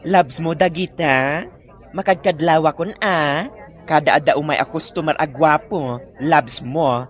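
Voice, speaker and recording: A man's voice: on the high side, measured pace, close to an everyday voice. A man with an Ilocano accent playing a sassy, grumbling parlor employee in a comedy drama. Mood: teasing.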